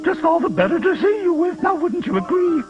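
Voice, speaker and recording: High-pitched, wheedling big bad wolf. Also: male voice, sing-song, a little fast. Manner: theatrical, wheedling. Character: sly hungry big bad wolf, posing as grandma. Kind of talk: animated fairy-tale voice acting. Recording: dull VHS cartoon soundtrack with music.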